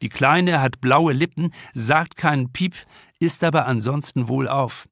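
A man narrating a comic crime novel with deliberate, expressive storytelling in a studio. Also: mid-range voice, dry recording. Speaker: author reading his audiobook.

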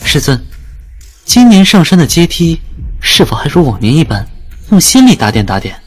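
A man's voice: a little high, huge pitch swings, moderate pace. A man acting in an audio drama as a dutiful young disciple, speaking formally and respectfully to his master. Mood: respectful asking. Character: earnest, dutiful young male disciple of an immortal.

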